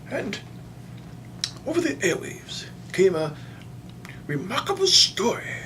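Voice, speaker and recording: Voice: medium pitch, a bit bright, a little hiss or hum, full character voice. A performer voicing a doddering, folksy old man who rambles and stammers through a comic storytime monologue. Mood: folksy, wondering. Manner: rambling, halting, stammering old-timer delivery. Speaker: storyteller, show host.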